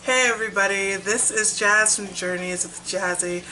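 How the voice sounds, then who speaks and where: A woman's voice: mid-range voice, steady tone, moderate pace, over loud music, rough recording. A young woman chatting casually in a vlog, upbeat and talkative, while she is losing her voice. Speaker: vlogger. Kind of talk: casual weight-loss vlog.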